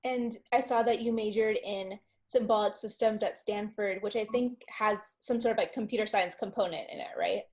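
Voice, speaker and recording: A woman's voice: medium pitch, steady tone, moderate pace, few pauses, darkish tone. A young woman hosting an interview show, reading an earnest introduction and asking questions.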